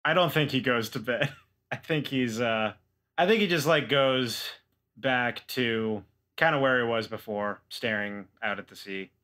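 A man's voice: mid-range voice, a little slow, pushed and bright. A man casually improvising as a player in a tabletop role-playing game, tentative in his phrasing.

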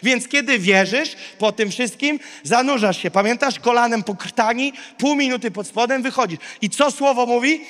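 High-pitched male preacher in a reverberant hall. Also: a bit bright. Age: 30s. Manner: emphatic, declarative, short repeated phrases with dramatic pauses. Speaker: pastor preaching. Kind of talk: sermon to a large live congregation. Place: large indoor auditorium stage. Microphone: handheld microphone. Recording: big reverberant hall PA sound.

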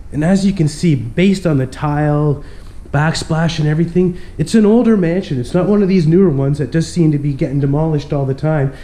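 A man's voice: a little high, warm tone. A young man with a stuffy cold, narrating a casual walkthrough of an empty abandoned mansion and chatting to viewers as he goes. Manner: upbeat, keeps addressing viewers as guys. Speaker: urban explorer vlog host.

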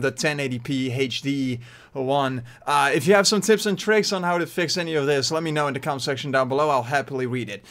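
A young Dutch man in his twenties with a Dutch accent, talking casually to his webcam from his bedroom, rambling with lots of fillers and restarts. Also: fairly high pitch. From Netherlands. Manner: animated hand gestures while talking. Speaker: YouTuber. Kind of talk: casual vlog talk to webcam. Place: small bedroom, indoors. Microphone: desk mic in front of webcam.

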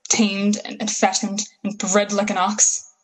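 Female actor for a bitter war survivor in a radio drama, husky voice, folksy slang, full of grand plans. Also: mid-range voice, completely flat delivery, low-quality recording. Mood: defiant. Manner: folksy, slangy, halting emphatic phrases. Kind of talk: 1930s-style radio play acting.

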